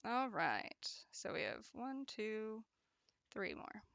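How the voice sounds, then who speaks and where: Young woman craft streamer with a bright, gravelly voice, chatty and laughing often, in a dry, close sound. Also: a little high, big pitch swings, takes it slowly, dry recording, highs cut off. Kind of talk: casual chat while crocheting and reading chat.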